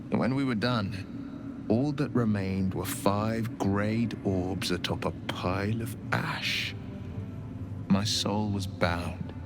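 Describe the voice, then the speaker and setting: An actor playing an earnest, solemn young nobleman adventurer bound by a devil's pact, telling things in a measured way for a video game. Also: lowish voice. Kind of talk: video game dialogue acting.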